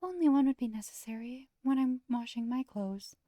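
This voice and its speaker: Woman voicing a high, mellow, polite angel girl. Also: moderate pace, no room sound, lightly acted. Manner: polite, demure, gentle. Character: polite young angel girl, shy, soft-spoken houseguest. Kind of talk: visual novel dialogue read aloud.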